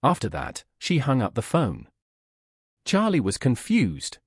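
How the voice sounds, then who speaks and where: A man reading a story aloud as scripted narration, with a husky voice. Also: medium pitch, huge pitch swings, a little slow, no echo, clean studio sound. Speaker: audiobook narrator.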